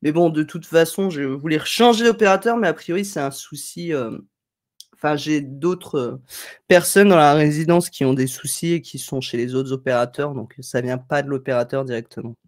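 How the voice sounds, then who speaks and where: A woman's voice: sits low, normal speed, darkish tone. Chatty woman with a head cold. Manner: chatty, digressive, friendly, thinking aloud with fillers. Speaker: live stream host. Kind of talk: casual live tutorial, reading chat comments. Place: home room at a desk, indoors.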